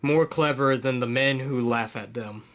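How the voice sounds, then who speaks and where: A man's voice: medium pitch, normal speed. A man with a mid-range voice chatting on a podcast. He tells stories in a loose, rambling way and keeps cracking jokes. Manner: rambling, joking storytelling. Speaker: podcast co-host. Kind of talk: casual chat.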